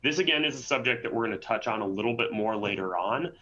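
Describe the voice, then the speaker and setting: A man's voice: medium pitch, animated, fast talker, pushed and bright, muffled recording. A man hosting and presenting a webinar in a conversational way, often tagging on "right?". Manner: conversational, frequent "right?" tags.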